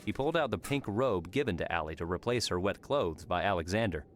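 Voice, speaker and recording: A man's voice: deep voice, expressive, fairly quick. A man narrating a scripted fantasy story as an audiobook narrator. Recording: clearly noisy background.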